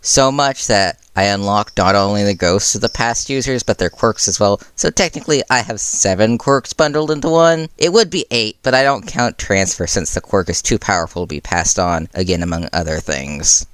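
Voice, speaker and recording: A man's voice: fairly low pitch, moderate pace, hardly any pauses, fairly bright tone, smooth, dry recording, natural voice. A man voicing a breezy, mischievous underground superhero in an audiobook, with a casual, cheeky, flippant way of talking. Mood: flippant, boastful.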